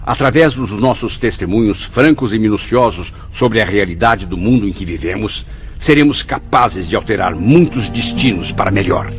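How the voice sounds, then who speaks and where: A man's voice: fairly low pitch, moderate pace, natural voice. An actor playing the anguished, proud spirit of a male writer in a radio drama, speaking formally and emotionally. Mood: earnest. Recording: dull lo-fi audio under loud music.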